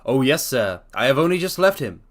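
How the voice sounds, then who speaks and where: A man voicing a humble male pawnbroker client who speaks quickly and deferentially. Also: mid-range voice, very animated, close to an everyday voice.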